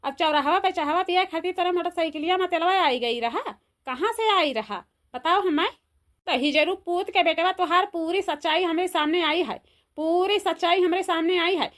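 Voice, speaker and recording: A bright, high voice with an Awadhi accent: an older village mother-in-law acted by a woman in a comedy cartoon. She scolds hard and keeps hammering the same indignant questions. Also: normal speed. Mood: angry scolding. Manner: scolding, repetitive rhetorical questions.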